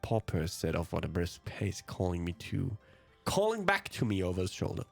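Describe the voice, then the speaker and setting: A man's voice: sits low, wildly swooping pitch, normal speed, gravelly, faint music behind. A man whose native language is German, hosting a let's play and reading visual novel narration aloud.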